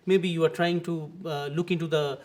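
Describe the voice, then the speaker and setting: Indian male interviewer, high voice, formal and measured. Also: steady tone, a little mellow. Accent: Indian English. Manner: formal, measured, with fillers when asking. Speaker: interviewer, host. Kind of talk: formal introduction and interview questions.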